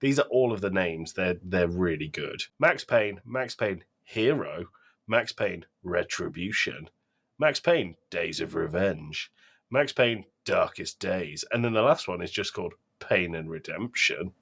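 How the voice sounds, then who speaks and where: Animated, husky low-voiced man. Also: a little slow. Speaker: podcast co-host. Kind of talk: casual banter.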